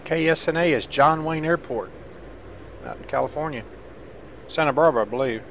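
A man's voice: on the high side, brisk. A man streaming a game, playing air traffic controller with procedural calls and offhand commentary. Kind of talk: gameplay commentary and radio-style controller calls. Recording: steady background noise from game ambience.

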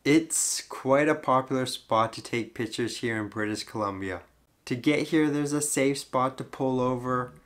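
A young man narrating his road trip vlog in a relaxed, easygoing way. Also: mid-range voice, a little slow. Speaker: travel vlogger.

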